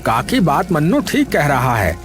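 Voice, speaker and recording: Easygoing kindly male villager actor, fairly high pitch, animated tale dialogue over a music bed. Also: unhurried. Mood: reassuring. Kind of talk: animated story character acting.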